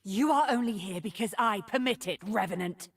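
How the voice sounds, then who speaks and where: A woman's voice: mid-range voice, animated, moderate pace, gravelly. A woman voicing a commanding, contemptuous ruler in a video game, haughty and threatening. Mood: cold, threatening. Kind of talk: video game character voice line.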